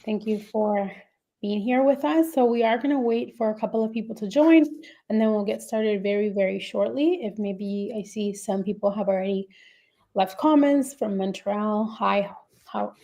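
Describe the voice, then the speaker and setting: A woman's voice: mid-range voice, moderate pace, mellow. A woman running her own immigration firm, hosting a live webinar and explaining programs in a friendly way, with frequent fillers and restarts.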